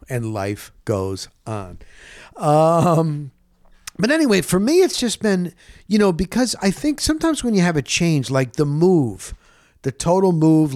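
A middle-aged man with a slightly high voice talks off the cuff like a sports podcast host. He rambles informally from one story to the next, cutting into his own sentences. The sound is close and dry. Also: no echo. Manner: informal, animated storyteller with self-interruptions. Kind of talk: casual rambling monologue.

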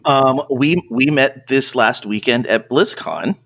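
A man's voice: medium pitch, takes it slowly, fairly bright tone. A man with a mid-range voice hosts a casual interview podcast. He introduces and questions his guest in an informal, conversational way. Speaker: podcast host.

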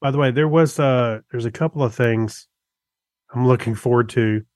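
A male podcast co-host with a medium-pitched voice, recorded dry. He chats casually and reviews in a halting, rambling flow, circling back as he goes. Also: a little mellow, no echo. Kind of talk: casual chat reviewing TV shows.

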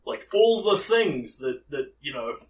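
A man's voice: medium pitch, big pitch swings, unhurried, far from the mic, poor audio. A man running a tabletop game as game master, explaining a character at length with many ums and restarts. His voice is slightly worn.

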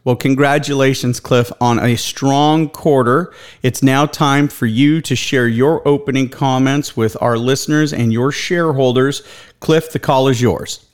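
A man's voice: mid-range voice, steady tone, a little slow, clear, resonant tone. A man hosting a corporate investor call in a formal, emphatic manner. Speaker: host of an investor conference call.

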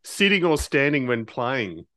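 Man, interview co-host, bright crisp tone, slow pace, casual chat. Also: mid-range voice, sing-song.

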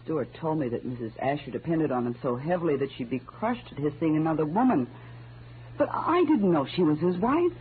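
A young femme fatale from an old radio crime play, all coy pleading and false innocence. Her pitch swoops widely. The sound is tinny and slightly noisy. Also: expressive, fairly quick. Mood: wounded innocence. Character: scheming young gold-digging woman, feigning sweet innocence. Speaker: supporting actress. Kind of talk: 1940s radio acting.

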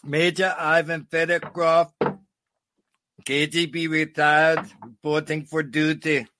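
Man putting on a bright, boisterous Russian KGB major. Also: a little high, level tone, slow, a little hiss or hum. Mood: boisterous, joking. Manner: jokey, swaggering, broken English. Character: boisterous retired Soviet KGB major hosting a radio show. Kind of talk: comic in-character radio interview.

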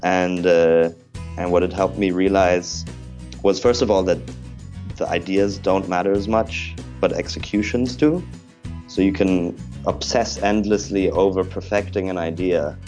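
Joking young German man, low voice. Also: fairly even intonation, measured pace, darkish tone, soft background music. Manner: joking, self-deprecating, laughs often. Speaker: stand-up comedian. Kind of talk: stand-up set and casual interview. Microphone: video call.

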